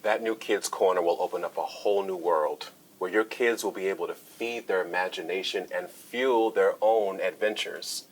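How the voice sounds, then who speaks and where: An actor playing a gentle, patient man at a children's story time, speaking softly and expressively in a measured storyteller's manner. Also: low voice, low-quality recording. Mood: friendly, upbeat. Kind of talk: video game acting, children's story time.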